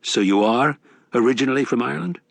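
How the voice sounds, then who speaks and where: A man voicing a guarded, plain-spoken ex-convict with a deadpan, understated manner in an audiobook. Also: medium pitch, fast, gravelly, dry recording. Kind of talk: audiobook character acting.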